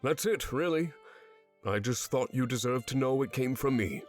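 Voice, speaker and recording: A man voicing a composed, earnest former enemy commander in a visual novel, speaking in a measured, formal way. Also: mid-range voice, expressive, soft background music, pitched above the performer's own voice, lightly acted.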